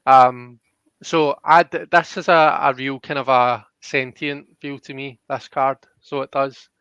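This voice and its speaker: A man giving a casual live card reading as host, thinking aloud in a halting way, on slightly dull audio. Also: mid-range voice, fairly even intonation, a bit bright, clean tone, no room sound. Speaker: host and tarot card reader.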